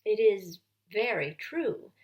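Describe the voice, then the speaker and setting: A great lion of calm, regal authority as a woman reads him aloud in a storybook tale. He speaks solemnly and with measured weight, every word a grave command. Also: mid-range voice.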